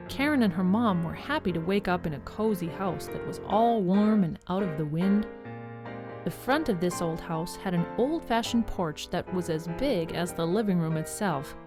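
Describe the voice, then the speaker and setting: A woman narrator reading scripted storybook narration for an audio drama. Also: medium pitch. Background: music behind the voice.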